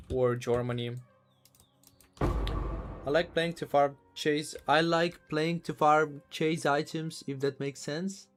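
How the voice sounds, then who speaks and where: A man from Ukraine, casually commentating a live game stream, halting and repeating words as he talks. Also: mid-range voice.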